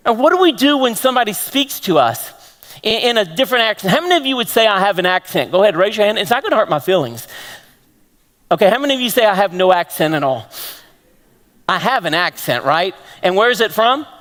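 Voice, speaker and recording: A man with a Texan accent preaching a lively sermon to a congregation, throwing out questions to the room. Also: high voice, fast. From Texas. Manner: animated, rhetorical questions to the congregation. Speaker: pastor. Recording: long hall reverb.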